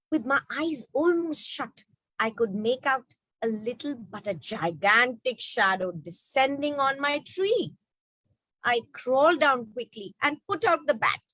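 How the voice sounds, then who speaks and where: A woman tells a children's story expressively. Her voice is fairly high and her pace is slow, recorded dry with little room sound. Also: dry recording, poor audio. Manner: expressive, asks rhetorical questions. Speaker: storyteller at an online children's book reading.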